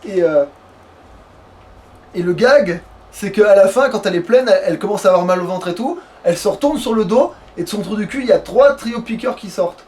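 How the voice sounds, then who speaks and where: A man's voice: high-pitched, lively intonation, brisk, a little mellow. A man hosting a video game let's play, chatting casually in a rambling way with crude jokes. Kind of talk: casual gaming chat.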